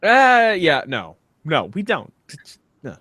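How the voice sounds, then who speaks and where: Lively, higher-voiced man co-hosting a comedy podcast. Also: slow pace, no echo. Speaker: podcast co-host. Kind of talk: casual comedic chat with mock-dramatic readings.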